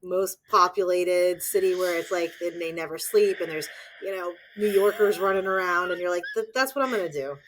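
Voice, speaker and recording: Blunt, lower-voiced podcast host woman. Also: a little fast, few pauses, fairly bright tone, slight background noise, rough recording. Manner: blunt, swears freely, laughs, asks direct questions. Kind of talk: casual conversational interview.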